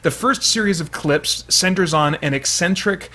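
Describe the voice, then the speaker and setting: A man's voice: a little high, moderate pace, pushed and bright. A man hosting a channel, giving casual, partly read commentary in a hesitant, thoughtful, explanatory way.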